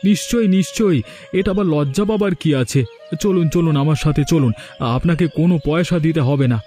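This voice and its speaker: A man's voice: fairly high pitch, brisk, warm tone, natural voice. A humble cartoon village shopkeeper acted by a man, generous and welcoming. He talks in a friendly way, with light music in the background. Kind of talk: cartoon dialogue.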